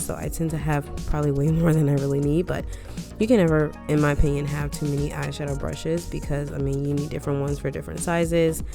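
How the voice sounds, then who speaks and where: A woman with a deep, low voice talks through a makeup tutorial in a loose, rambling way. She sounds congested and a little scratchy from a lingering cold. Music plays clearly under her voice. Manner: chatty, rambling asides, frequent "um" and "like". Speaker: beauty vlogger. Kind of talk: casual makeup tutorial chat.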